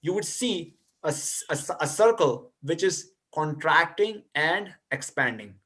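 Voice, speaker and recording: A man's voice: a little high, lively intonation, measured pace, bright, forceful tone. Man explaining on a video call. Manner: hesitant restarts, explanatory tone. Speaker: guest speaker on a video call lecture. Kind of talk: lecture and answering audience questions.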